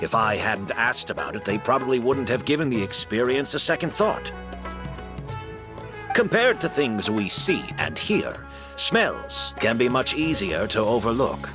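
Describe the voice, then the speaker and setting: A man's voice: medium pitch, big pitch swings, measured pace. An actor playing a kindly, humble older male perfumer and mentor in a video game, modest and thoughtful. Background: game background music.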